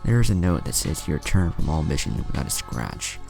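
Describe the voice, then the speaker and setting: Guy reading as a young ninja info guy, super low lively voice, explaining stuff, music behind. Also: a little fast, vocal fry, full character voice. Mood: informative. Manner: explanatory, listing facts. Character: bespectacled young male ninja, informative know-it-all. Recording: music under the voice.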